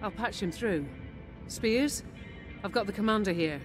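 An actress playing a confident, friendly veteran mercenary officer in a video game, frank and familiar. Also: female voice, mid-range voice, measured pace, music in the background, natural voice. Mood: friendly, assured. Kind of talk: video game cutscene acting.

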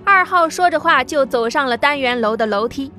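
A woman narrating an audio drama in a steady storytelling manner. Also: high-pitched, a little fast, soft background music. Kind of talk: scripted narration.

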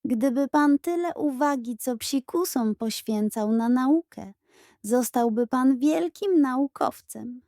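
A woman's voice: high voice, takes it slowly, no echo, clean studio sound. A woman voicing a dignified, demanding but composed female schoolteacher in a children's audio storybook. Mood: gentle reproof. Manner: calm, stately, gently reproving.

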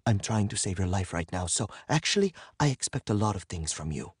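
A man voicing a tough, unflappable young woman captor in an audiobook, calm and matter-of-fact with a dry edge of threat. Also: lowish voice, fairly quick, husky, dry recording. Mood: firm.

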